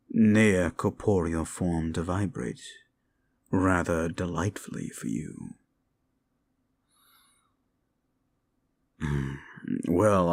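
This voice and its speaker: A man's voice: sits low, gravelly, heavily acted. A man voicing a sly, seductive demon who hungers for suffering, in an intimate, teasing and possessive ASMR roleplay murmur. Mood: soothing, sly.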